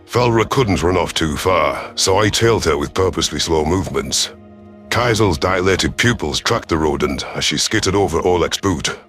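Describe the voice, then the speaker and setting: Male narrator, low-pitched, for dramatic first-person sci-fi storytelling over faint music. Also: a bit bright. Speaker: audiobook narrator.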